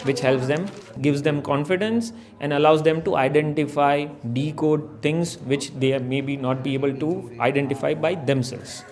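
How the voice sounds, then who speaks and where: A man's voice: on the high side, normal speed, few pauses, a crowd in the background. A man who teaches, speaking in an explanatory interview testimonial.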